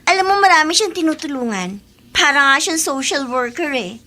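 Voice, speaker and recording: High, bright, spoiled teenage schemer. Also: female voice, lively intonation, a little fast. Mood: brazen, scheming. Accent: Filipino. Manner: sassy, smug, self-justifying. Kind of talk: Filipino radio drama acting.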